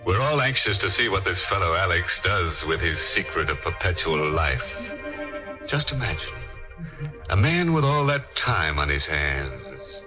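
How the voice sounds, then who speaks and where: A slow, ghoulish radio horror host. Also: male voice, sits low, very animated, over music. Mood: sardonic relish. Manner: drawn-out, teasing, ghoulish. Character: macabre, sardonic horror-show host. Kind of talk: 1940s radio horror hosting.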